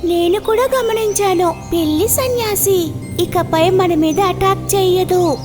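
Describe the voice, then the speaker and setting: A woman's voice: very high-pitched, measured pace. A woman voicing a little cartoon rat, curious, trusting and earnest in a childlike way. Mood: reassured. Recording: clear music behind.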